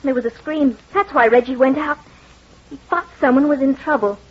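An actress playing a worried young bride, fretful, earnest and pleading, in an old radio drama style. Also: female voice, sits high, moderate pace, natural voice. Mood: worried questioning. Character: worried young bride searching for her groom. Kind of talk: 1940s radio acting. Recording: muffled old radio broadcast.

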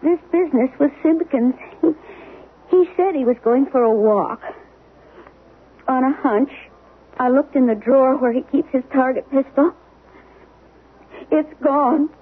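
An actress in an old-time radio drama playing an anxious wife, hesitant and gently soothing. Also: female voice, sits high, a little slow, pauses often, slightly rough, narrow, tinny audio. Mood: worried. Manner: worried, hesitant, placating. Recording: thin, hissy old radio broadcast.

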